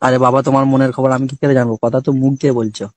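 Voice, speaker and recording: A man's voice: mid-range voice, level tone, racing, darkish tone, no echo. A man bantering on a phone call in a crude, teasing, swearing way. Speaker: phone caller. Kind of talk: casual, bawdy phone banter.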